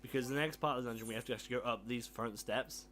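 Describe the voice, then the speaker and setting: A young man with a croaky, sore throat, casually commentating a video game, self-deprecating and swearing freely. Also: medium pitch, racing, a bit bright, slight background noise. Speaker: gameplay commentator. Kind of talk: casual let's play commentary. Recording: game music playing behind.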